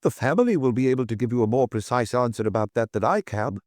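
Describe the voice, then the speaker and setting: A man's voice: mid-range voice, lively intonation, fast, a little mellow, dry recording, studio quality, natural voice. A man voicing a curt, discreet notary in an audiobook, clipped, formal and unforthcoming. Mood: guarded, clipped. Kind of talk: audiobook character dialogue.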